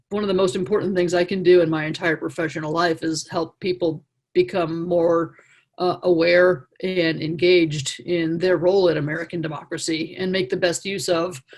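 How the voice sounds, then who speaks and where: A woman's voice: fairly low pitch, fairly even intonation, normal speed, a little mellow, slightly rough. A woman, a professor, giving a spoken interview answer. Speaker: political science professor interviewee.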